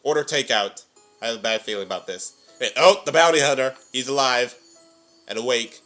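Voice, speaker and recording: A man's voice: medium pitch, fairly quick, sharp tone, soft background music. A man giving casual reaction commentary in short, wry interjections. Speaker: reaction host.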